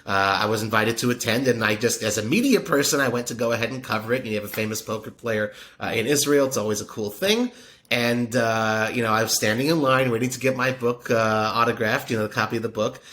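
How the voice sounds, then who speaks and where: A man's voice: medium pitch, fast, slight background noise. A man, a podcaster telling a friendly story in a casual interview, rambling with many fillers and restarts. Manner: warm, admiring storyteller. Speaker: podcaster and poker media guest.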